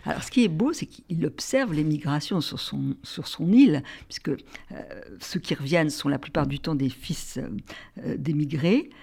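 A woman with a fairly low voice interviewing an author about her book. She speaks with admiration, stumbling and repeating words as she searches for the right phrase, then praises lines from the text. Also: normal speed, creaky, warm tone, slightly rough. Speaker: literary interviewer. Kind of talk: interview, reading excerpts.

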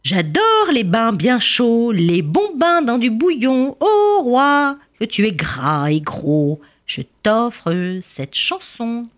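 A woman's voice: a little high, very animated, slow, few pauses, pitched above the performer's own voice. A woman voicing a small cheeky chattering bird that mocks a king in a sing-song way. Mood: gleeful mocking.

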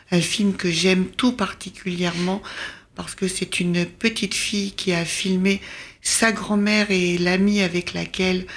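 A woman speaking as an interview guest, presenting an event programme in a conversational radio style. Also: mid-range voice.

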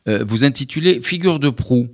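Attentive French radio host. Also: male voice, mid-range voice, animated, normal speed. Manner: attentive, many murmured "mhm" backchannels, probing questions. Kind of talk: radio interview.

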